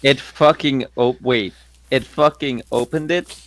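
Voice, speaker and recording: A man with a medium-pitched voice talks casually as a player at a tabletop role-playing game. It is everyday table chat. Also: unhurried, fairly bright tone.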